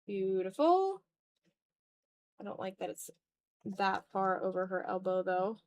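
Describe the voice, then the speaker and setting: A woman's voice: medium pitch, sing-song, a little slow. A woman livestreamer chatting casually while drawing, thinking aloud in fragments with a self-deprecating manner. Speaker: art livestreamer.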